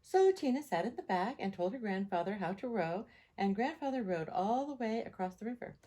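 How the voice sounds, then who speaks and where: A woman reading a picture book aloud to children in an expressive, animated storytelling style. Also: medium pitch, a little fast. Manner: animated, repeats sound words.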